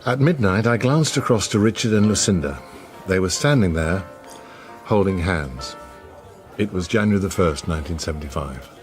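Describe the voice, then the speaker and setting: An actor playing a wry, urbane older academic reminiscing in a radio drama. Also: male voice, sits low, expressive, moderate pace, soft background music. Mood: wry reminiscence. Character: older urbane academic man, wry about an old affair.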